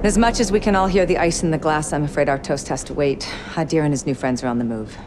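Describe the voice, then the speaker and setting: A stern middle-aged female intelligence chief, acted by a woman in a cinematic military game. Her low voice is clipped and businesslike. Dramatic music runs clearly behind her. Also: fast talker, natural voice. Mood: measured, grim. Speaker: intelligence officer giving briefings.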